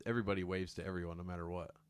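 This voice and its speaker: An American man hosting a podcast, telling things in a joking, animated way with casual banter. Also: low-pitched, racing, smooth. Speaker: podcast host.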